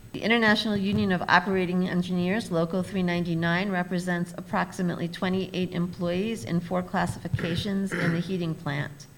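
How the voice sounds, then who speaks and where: Low-voiced woman reading agenda items. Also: a little hiss or hum, rough recording. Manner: steady, formal reading. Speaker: university staff presenting agenda items.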